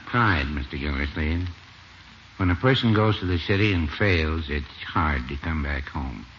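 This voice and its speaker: Mild older shopkeeper, deadpan, very low voice, vintage radio sitcom, dull hissy recording. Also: male voice, measured pace. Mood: dry, mild. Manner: deadpan, gently contradicting. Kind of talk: 1950s radio situation comedy acting. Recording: muffled, faintly noisy old radio recording.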